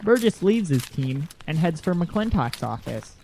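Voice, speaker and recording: A man's voice: a little high, big pitch swings, measured pace, gravelly, darkish tone. A man reading scripted story narration as the narrator of an audio drama. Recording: faint background noise.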